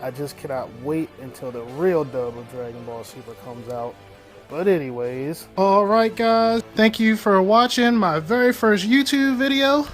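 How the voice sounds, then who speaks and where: A man with a fairly high voice delivers an excited, casual anime review as an online video host. Music plays behind him. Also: unhurried. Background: background music.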